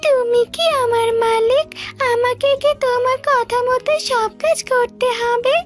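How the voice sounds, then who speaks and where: A woman's voice: extremely high pitch, fairly even intonation, a little slow, music in the background, pitched above the performer's own voice. A woman voicing a newly hatched, obedient baby snake in a cartoon, childlike and servile. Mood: eager, obedient.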